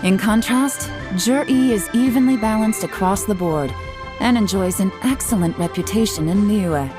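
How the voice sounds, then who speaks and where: A composed woman leader delivers verdicts in a measured, authoritative voice of middle pitch, as a woman plays her. Game music plays clearly underneath. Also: close to an everyday voice. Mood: calm. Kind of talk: game dialogue. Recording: game audio with music behind.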